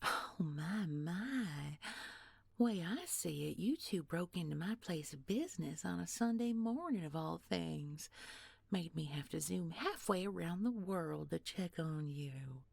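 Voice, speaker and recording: Horror audio drama villainess for a woman actor: ancient nightmare in executive guise, cooing and taunting, drawling slowly with gravelly fry. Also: fairly low pitch, lively intonation, darkish tone, no room sound, exaggerated voice. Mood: mock-sweet menace. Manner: folksy, condescending pet names, mock-sweet taunting. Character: sugary, menacing ancient female nightmare posing as a business executive. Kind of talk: audio horror roleplay acting.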